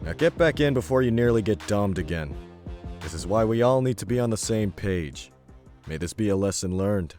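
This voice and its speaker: A man's voice: on the low side, expressive, normal speed, darkish tone, lightly acted. An actor playing a blunt, crude male ex-soldier who taunts his squad in an audio drama. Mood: bossy. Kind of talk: audio fiction acting. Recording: music bed underneath.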